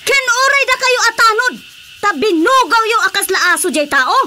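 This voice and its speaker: Bossy female village captain for radio comedy, Ilocano accent, high, bright voice and big dramatic swings. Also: sing-song, moderate pace, faint music behind, full character voice. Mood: furious scolding. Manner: dramatic, exclaiming, scolding.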